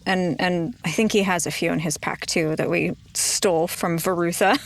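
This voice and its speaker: A woman's voice: fairly low pitch, normal speed. A woman playing a tabletop roleplaying game, moving between in-character dialogue and casual table talk.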